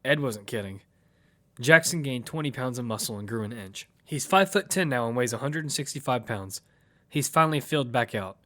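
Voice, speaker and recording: A man's voice: mid-range voice, animated, brisk, a bit bright, close to an everyday voice. A man playing a determined lead who narrates his own survival story in a matter-of-fact way. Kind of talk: first-person audio fiction narration. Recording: music bed behind the voice.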